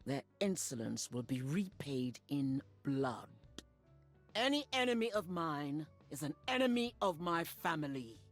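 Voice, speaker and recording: A woman's voice: sits low, very animated, measured pace, soft background music, heavily acted. An actress playing a proud, ruthless middle-aged warlord mother in a video game, commanding and haughty. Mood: stern contempt.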